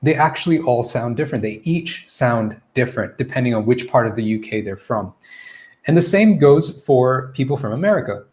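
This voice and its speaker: A man at an ordinary middle pitch talks viewers through a live English lesson. His delivery is casual and chatty. He often stops to correct himself mid-sentence. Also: animated, moderate pace, darkish tone. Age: adult, former teacher. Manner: chatty, self-correcting, loose and laughing. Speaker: English pronunciation coach.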